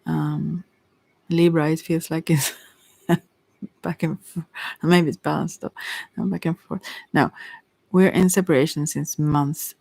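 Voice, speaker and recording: A tarot reader talking casually and hesitantly, thinking aloud while answering questions, in a soft voice that at times drops to a whisper. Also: mid-range voice, flat delivery, slow, vocal fry, long pauses, no echo, poor audio. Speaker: tarot reader hosting a live reading.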